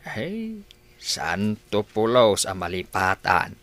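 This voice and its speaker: An old Ilocano grandfather in a radio drama. He is puzzled and reflective, speaking very slowly in a lowish voice with wide swings, recorded dry and close. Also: huge pitch swings, fairly bright tone, no room sound, full character voice. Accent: Filipino, Ilocano. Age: older.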